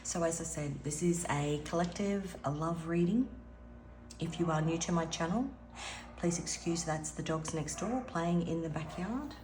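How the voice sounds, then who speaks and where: A woman with a raspy voice giving an earnest, meandering spiritual card reading at home as an intuitive tarot reader. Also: sits low, moderate pace, a little mellow. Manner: meandering, earnest, with frequent restarts. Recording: echoey room with faint background noise.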